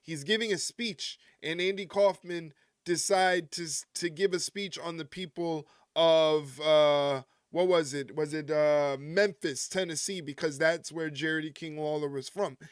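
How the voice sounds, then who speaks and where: A man's voice: on the high side, steady tone, unhurried, hardly any pauses, clear, resonant tone, dry recording, studio quality. A man hosting a wrestling live stream, rambling and joking with his chat and often chuckling. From United States.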